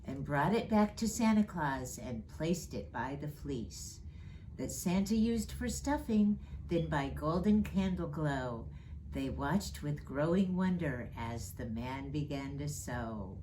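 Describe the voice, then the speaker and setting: A woman reading a children's storybook aloud in an expressive storytime style. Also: low-pitched, slight background noise, poor audio. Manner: slow, expressive, rhyming read-aloud delivery. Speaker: storyteller reading as Mrs. Claus.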